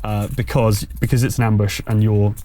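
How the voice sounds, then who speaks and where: A man acting as game master, narrating a tabletop roleplaying game in a casual, wry and slightly halting way. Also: on the low side, level tone, mellow, a little hiss or hum. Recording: music playing behind the voice. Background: background music.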